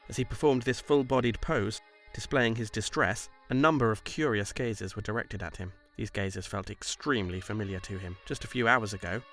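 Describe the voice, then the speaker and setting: A man reading scripted story narration in a measured, literary delivery. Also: lowish voice, fairly bright tone.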